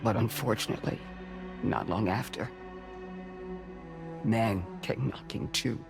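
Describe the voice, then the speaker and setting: An actor playing an earnest, weary doctor in a cinematic video game, speaking solemnly and deliberately. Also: male voice, mid-range voice, gravelly. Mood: grim. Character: earnest, weary male doctor sheltering mothers.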